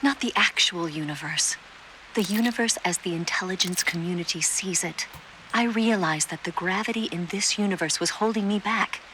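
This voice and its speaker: An actress playing a cool, worldly female spy in a video game, speaking in a measured, enigmatic way. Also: fairly low pitch, sharp tone, slight background noise, poor audio. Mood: reflective.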